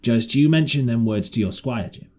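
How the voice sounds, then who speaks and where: A man's voice: medium pitch, normal speed, dark tone, natural voice. A man voicing a shrewd, half-crazed marooned seaman in an audiobook, with rustic, ungrammatical, repetitive sailor talk. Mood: insistent, confidential. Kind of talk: audiobook character voice.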